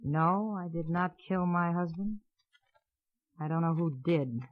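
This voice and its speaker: An actress playing a sardonic, world-weary widow and murder suspect in a radio crime drama. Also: female voice, lowish voice, a little slow, close to an everyday voice. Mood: defiant. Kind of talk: 1950s radio crime acting. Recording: muffled old radio recording.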